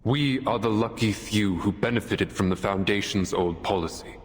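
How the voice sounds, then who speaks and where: An actor playing an earnest, resolute military officer in a game, making a measured, formal and persuasive case to a tribunal. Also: male voice, fairly low pitch, close to an everyday voice. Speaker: soldier defending himself at a tribunal. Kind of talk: dramatic game voice acting. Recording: long hall reverb, music behind.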